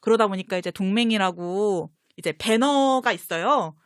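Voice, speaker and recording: A woman's voice: medium pitch, expressive, moderate pace, dry recording, studio quality. A woman guest on a fan talk show, telling personal anecdotes in casual conversation.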